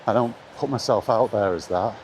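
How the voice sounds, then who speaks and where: A man's voice: on the low side, moderate pace, darkish tone. A middle-aged man giving a casual, blunt opinion monologue as a video blogger, repeating his points for emphasis while recording outdoors. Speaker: video blogger talking about retro games. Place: outdoors in the rain, walking. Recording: noisy outdoor recording with ambient noise.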